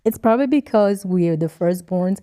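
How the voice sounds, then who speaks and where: A woman in her thirties with a warm, mellow voice, chatting casually with an old friend on a podcast. She laughs easily and tells her stories with lively energy. Her first language is Portuguese. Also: on the low side, fairly quick. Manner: laughs often, animated storytelling. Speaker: podcast co-host. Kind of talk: casual chat between friends.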